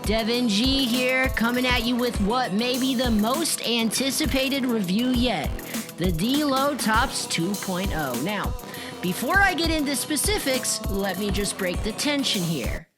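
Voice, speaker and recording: A cocky young online shoe reviewer. The voice is high and very animated, with showy, slangy emphasis. Music plays clearly behind the hype. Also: female voice, a little slow. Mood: hyped. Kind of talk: hyped online video review.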